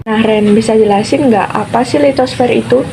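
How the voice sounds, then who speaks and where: A woman's voice: moderate pace, vocal fry. A young woman co-hosting a student podcast in a scripted, conversational educational style. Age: young adult. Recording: noisy, vehicle-like ambient rumble.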